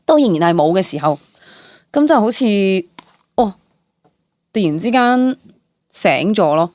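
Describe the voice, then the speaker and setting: A woman's voice: mid-range voice, measured pace, no echo. A woman in her late twenties with a Hong Kong Cantonese accent, chatting casually as an interview guest, exclaiming as she tells things and mixing in English words. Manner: animated storytelling with exclamations, English words mixed in. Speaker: guest, stage actress. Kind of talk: casual personal interview chat.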